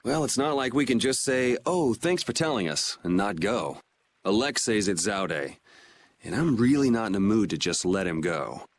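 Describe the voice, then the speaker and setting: A man's voice: lowish voice, expressive, normal speed, a little hiss or hum. An actor playing a laid-back, blunt young swordsman hero in a video game, with a casual, dry manner. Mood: casual, determined.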